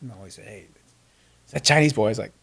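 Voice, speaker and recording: A man's voice: on the low side, racing, vocal fry, a bit bright, husky. A man in his fifties, born in Vietnam with Vietnamese as his first language, telling a personal story earnestly as a podcast guest. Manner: earnest, with frequent restarts.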